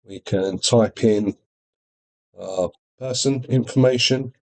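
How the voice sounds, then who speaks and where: A man demonstrating software in an informal tutorial, explaining things step by step. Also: mid-range voice, slow. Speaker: product demonstrator.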